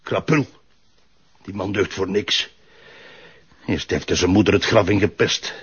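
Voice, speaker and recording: An actor playing a bitter, scornful, middle-aged Flemish widower in a TV crime drama, curt and husky. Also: male voice, low voice, a little slow, a bit bright, lo-fi sound, full character voice.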